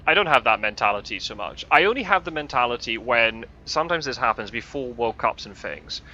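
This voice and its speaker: A man's voice: mid-range voice, lively intonation, brisk, sharp tone, lo-fi sound. A man in his twenties with a mixed English and Scottish accent, chatting casually while he drives a truck and rambling through lots of fillers and restarts. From Scotland. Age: 20s. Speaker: vlogger. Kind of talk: casual chat while driving. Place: inside a truck cab.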